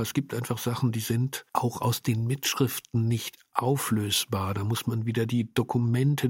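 A man with a husky voice speaks in a dry, close recording. He reflects on his radio drama work in an interview, setting out deliberate, emphatic fragments at a middle pitch. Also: a little mellow, dry recording. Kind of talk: reflective interview statement about own work.

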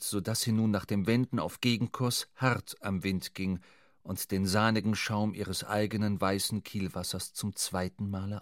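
A man narrating a literary audio drama in a measured, dramatic storytelling manner. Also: lowish voice, no room sound, clean studio sound.

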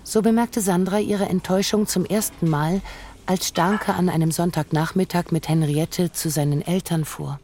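A narrator in a radio drama, reading literary prose in a formal, measured, analytical way. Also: mid-range voice, fairly even intonation, darkish tone, a little hiss or hum, natural voice. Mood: detached, analytical. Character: detached, analytical narrator of a relationship's decline. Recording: faint music behind.

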